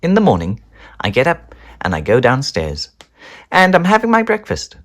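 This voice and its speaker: A man reading a children's story aloud in a theatrical, animated storytelling style. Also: medium pitch, moderate pace. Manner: theatrical, with whispers and chanted sound words. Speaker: storybook reader.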